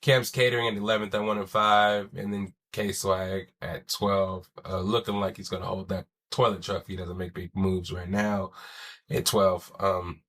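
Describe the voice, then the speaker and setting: A young man with a lowish, bright voice talks sports alone on his podcast in a loose, slangy way, swearing now and then. A chest cold leaves him sounding wheezy and stuffy. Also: flat delivery, normal speed. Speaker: podcast host. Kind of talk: casual solo sports talk.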